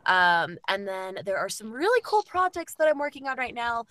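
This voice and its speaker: A woman's voice: a little high, sing-song, normal speed, pushed and bright, dry recording. A woman voice actress chatting casually in a playful, teasing manner. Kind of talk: casual chat.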